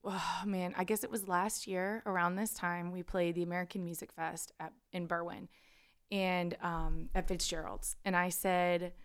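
A woman's voice: on the low side, little pitch movement, normal speed. A woman musician who is a guest on a radio show, talking in a friendly, rambling way with a slight grit in her voice. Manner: friendly and rambling, with frequent laughs. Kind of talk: casual interview chat. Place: radio studio.

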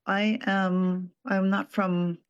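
An older man from Hawaii, a retired professor, giving a reflective personal account with frequent pauses and restarted phrases. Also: high-pitched, little pitch movement, dull audio. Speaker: retired professor and nonprofit board president.

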